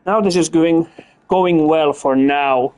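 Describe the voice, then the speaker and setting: A man from Finland, casually commenting on a video game and chatting with viewers, relaxed and repeating words as he thinks aloud. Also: on the high side, takes it slowly. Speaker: gaming streamer. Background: game music and sound effects.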